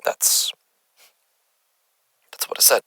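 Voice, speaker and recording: A man playing an unsettled doctor who records his findings haltingly, as a horror podcast monologue. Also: sharp tone, no echo, clean studio sound. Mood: shaken, hesitant. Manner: halting, hesitant, stammering. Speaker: lead character of a horror audio drama.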